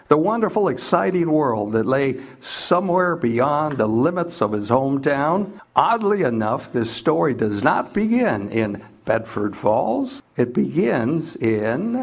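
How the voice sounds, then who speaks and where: A man's voice: mid-range voice, big pitch swings, unhurried, gravelly, few pauses, husky. A man announcing and narrating in formal, stately 1940s radio style.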